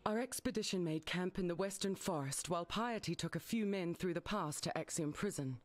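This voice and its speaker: An actress voicing a wry, learned researcher in a video game, recounting dark history in articulate, dry speech. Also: female voice, lowish voice, brisk, no room sound, lightly acted. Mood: dry, uneasy. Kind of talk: video game narrative dialogue.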